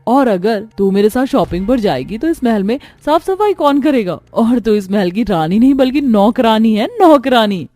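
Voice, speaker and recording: A woman's voice: a little high, animated, normal speed, hardly any pauses, warm tone, dry recording, lightly acted. Woman playing a spoiled, haughty young stepsister. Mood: mocking. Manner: mocking, taunting. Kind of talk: cartoon story voice acting.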